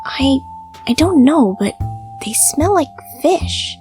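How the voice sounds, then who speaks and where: A woman's voice: high voice, sing-song, slow pace, darkish tone, exaggerated voice. A woman voicing a wary, indignant, feisty female pet cat in a visual novel, with a skittish manner. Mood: nervous. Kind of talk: visual novel character acting. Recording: music clearly behind the voice.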